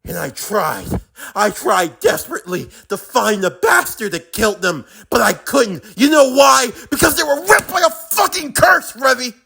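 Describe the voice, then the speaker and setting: A man's voice: sits high, very animated, measured pace, creaky, pushed and bright, a little hiss or hum, exaggerated voice. A man playing a defiant, bitterly sarcastic young man in an intense anime-style drama, with a profane, taunting, emphatic delivery. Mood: anguished fury.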